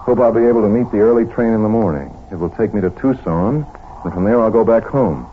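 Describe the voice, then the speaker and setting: Low-voiced, methodical American detective played by a man. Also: lightly acted. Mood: grave, businesslike. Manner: brisk, deliberate, authoritative. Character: calm methodical male doctor detective taking charge. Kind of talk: 1940s radio acting. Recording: muffled, noisy vintage radio recording.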